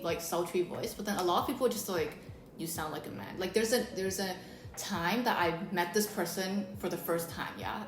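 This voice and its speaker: A woman with a deep voice, chatting casually and telling a story with lots of fillers as a co-host. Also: reverberant. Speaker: co-host of a beauty channel talk. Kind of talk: casual chat.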